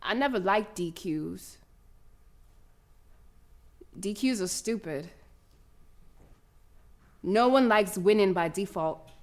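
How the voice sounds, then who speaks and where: A woman's voice: medium pitch, animated, slow pace, long pauses. A woman playing a proud young schoolgirl runner in an audio drama monologue, telling her story in colloquial, emphatic speech with dramatic repetition. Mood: subdued, grieving. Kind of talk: dramatic first-person monologue, audio play.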